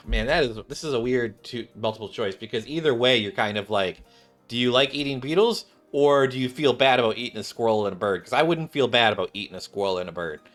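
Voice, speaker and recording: A game streamer talking casually to viewers. The delivery rambles and jokes along in a mid-pitched voice. Soft music sits underneath. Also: brisk. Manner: rambling, joking. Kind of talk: casual live commentary and story reading.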